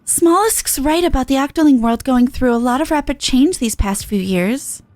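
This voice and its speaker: A woman voicing a dry, low-key, unimpressed young character in a game, speaking in a deadpan way. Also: normal speed, slight background noise.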